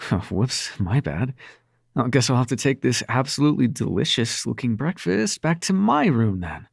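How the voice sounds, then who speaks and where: Male actor as young demon prince for intimate roleplay audio: sarcastic, secretly tender, animated pitch, gravelly edge, casual and sweary. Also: normal speed, dry recording, studio quality, exaggerated voice. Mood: playful, teasing. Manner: casual, sweary, teasing, hesitant when sincere.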